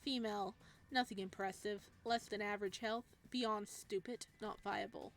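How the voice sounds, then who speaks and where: A woman's voice: steady tone, moderate pace, soft background music, natural voice. A sadistic, godlike man who experiments on people, acted out by a woman in a visual novel read-aloud. The delivery is playful yet menacing, with clinical detachment and mocking relish. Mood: detached fascination. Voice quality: low, slightly raspy as written. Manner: clinical, mocking, savoring words. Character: gleeful sadistic male living-god experimenter, playful and menacing.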